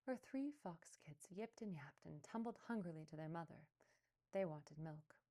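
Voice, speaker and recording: A woman reads a children's storybook aloud. Her voice is low for a woman, with a soft, mellow tone. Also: expressive, moderate pace. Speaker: storybook reader.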